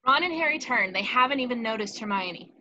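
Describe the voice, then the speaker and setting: Bright-voiced woman reading stage directions. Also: fairly high pitch, lively intonation, a little fast, highs cut off. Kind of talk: scripted narration in a table read. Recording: faint background noise.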